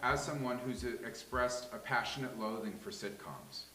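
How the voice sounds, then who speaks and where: A man on a casual live panel, asking questions in a halting, polite, inquisitive way. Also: medium pitch, pushed and bright. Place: live venue with a small studio audience. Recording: echoey room with hiss.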